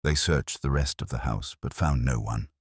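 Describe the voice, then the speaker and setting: Very deep male mystery narrator. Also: normal speed, husky, no echo, studio quality. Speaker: audiobook narrator. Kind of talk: scripted mystery story narration.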